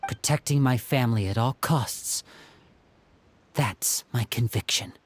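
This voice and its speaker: A man's voice: mid-range voice, a little slow, a little hiss or hum, natural voice. An actor playing an earnest, humble young man devoted to his family in a game cutscene, speaking sincerely and with self-doubt. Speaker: video game character. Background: faint music.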